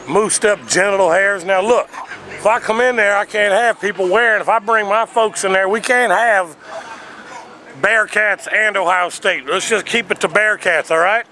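A man's voice: high-pitched, normal speed, bright, forceful tone. A man in his thirties putting on a brash, bossy, rambling prank caller who badgers and throws absurd insults. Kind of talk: improvised prank phone call. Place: outdoors on a city sidewalk. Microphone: handheld camera up close. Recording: noisy outdoor street with traffic.

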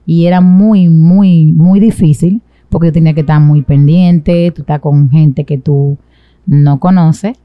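A woman's voice: low-pitched, steady tone, a little slow, dry recording, lo-fi sound. A young woman with a Dominican accent, telling her own story as a guest in an interview. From Dominican Republic. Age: young adult. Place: small indoor studio set with a sofa. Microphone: boom-arm microphone close to the mouth.

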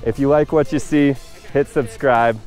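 A man's voice: medium pitch, fairly even intonation, normal speed, a little mellow, low-quality recording. A young man giving a laid-back, conversational product review to camera as a ski reviewer, outdoors. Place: outdoors at a snowy ski slope. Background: music.

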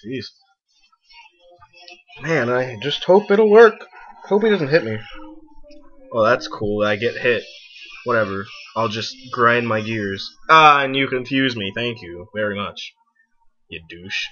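A young man giving casual, excitable let's play commentary, exclaiming and talking to the game and himself. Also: mid-range voice, takes it slowly, long pauses. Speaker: gaming video host. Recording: muffled lo-fi mic, game music behind. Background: video game music.

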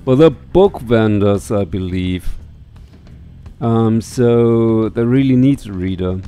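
A man's voice: lowish voice, lively intonation, slow pace, warm tone, clear, resonant tone. A middle-aged man giving casual, musing game commentary on a headset mic, reading text aloud as he goes. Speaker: let's play streamer. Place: indoor room. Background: faint game music.

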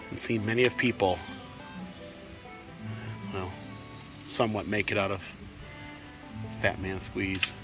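A middle-aged American man giving an easygoing, casual walkthrough narration as a travel vlogger, with short musing asides. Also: medium pitch. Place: outdoors at a mountain garden and in narrow rock crevices. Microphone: phone held at arm's length or in hand.

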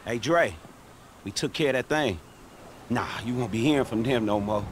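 A man's voice: medium pitch, normal speed, slight background noise, close to an everyday voice. An actor playing a streetwise, easily exasperated man in a video game, talking bluntly and in slang. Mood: confident. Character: streetwise, exasperated middle-aged man running a fixer business. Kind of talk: video game cutscene acting.

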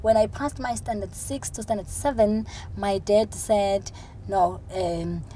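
A woman's voice: mid-range voice, fairly even intonation, a little slow, warm tone. A middle-aged woman with a South African accent, an interview guest talking earnestly and often repeating words as she starts a sentence, recorded on a lapel mic in the back seat of a car. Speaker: interview guest, city council official. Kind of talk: conversational interview. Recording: car with road noise behind.

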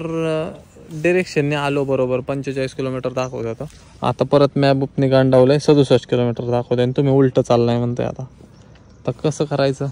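A young man, talking in a casual, joking, excited way as he vlogs outdoors into a handheld camera. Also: on the high side. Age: 20s. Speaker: vlogger. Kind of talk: casual travel vlog. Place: outdoors on a mountain road. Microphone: handheld camera at arm's length. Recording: outdoor recording with loud traffic.

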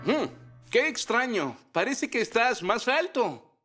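A man voicing a playful, gently teasing father in an animated cartoon dub, with a mock-serious manner. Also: extremely high pitch, takes it slowly, faint music behind, full character voice. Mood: curious.

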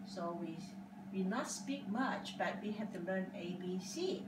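An older woman whose first language is Cantonese, answering interview questions at home in slow, halting English with simple grammar. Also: medium pitch, lively intonation. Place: dining room at home, indoors. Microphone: far camera across the room. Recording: echoey room, distant mic, loud background hiss.

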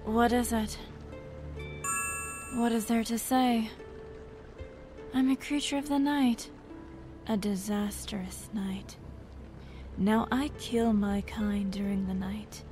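A woman voicing a cold, remorseless vampire hunter in a video game dub, with a brooding, deliberate manner. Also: mid-range voice, steady tone, music in the background, close to an everyday voice.

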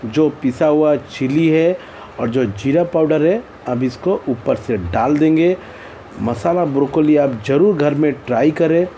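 An Indian-accented man hosts a step-by-step cooking tutorial in a soft, mellow tone. His delivery is plain and instructional, circling back to the same phrases. Noticeable background noise sits behind his voice. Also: a little high, unhurried. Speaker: cooking channel host. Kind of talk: recipe tutorial narration.

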